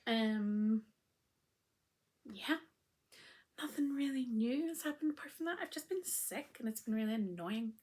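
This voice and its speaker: A woman hosting a casual crafting podcast, her voice still croaky after illness, talking in a chatty, rambling way with frequent ums. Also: fairly high pitch, animated, a little slow, long pauses, a little mellow. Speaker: knitting podcast host.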